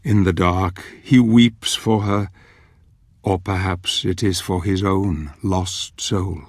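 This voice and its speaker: An actor playing a grave, watchful old narrator, telling a dark fantasy video game story in a solemn, measured, theatrical way. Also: male voice, low-pitched, fairly even intonation, no echo, clean studio sound. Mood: solemn, brooding.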